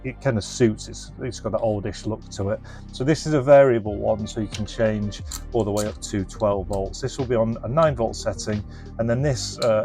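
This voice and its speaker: A man with a fairly low voice presents a casual hands-on demonstration. He explains things informally, thinking aloud as he works through each step. Some music can be heard with his voice. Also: darkish tone. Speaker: presenter and reseller of restored retro electronics.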